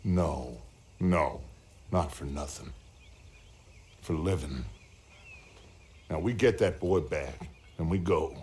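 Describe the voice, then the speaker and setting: An actor playing a grandiose, persuasive American outlaw gang leader with a theatrical, deliberate delivery in a Western game. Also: male voice, very deep voice, darkish tone. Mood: grave, reassuring. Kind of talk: dramatic Western game cutscene acting.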